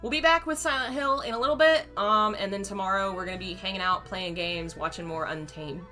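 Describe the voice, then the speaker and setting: A hoarse woman reading a novel aloud on her channel, chatty and fond when she talks to viewers. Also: medium pitch, brisk, pushed and bright, soft background music. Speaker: reader and channel host.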